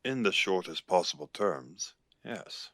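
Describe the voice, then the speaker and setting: A man playing a guarded, seasoned werewolf pack leader with an Irish accent in an audio roleplay, speaking in a measured, grave way. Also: low voice, animated, fairly bright tone, no echo, clean studio sound, heavily acted. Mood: stern, advising.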